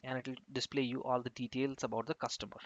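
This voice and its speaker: A man talks through a software lesson step by step in a medium-pitched voice. His phrasing is halting and unscripted, like an instructor sharing a screen. The recording is dry and somewhat dull, close on a computer microphone. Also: level tone, no echo. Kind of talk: screen-share tutorial narration, unscripted.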